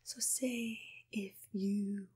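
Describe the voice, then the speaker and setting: Woman playing a whispering, breathy monster matchmaker. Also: medium pitch, fairly even intonation, heavily acted. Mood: gentle, reassuring. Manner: soothing, gentle, unhurried, reassuring. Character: soft-spoken, accommodating female monster matchmaker. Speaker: ASMR roleplay performer. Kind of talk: ASMR personal-attention roleplay, mostly whispered.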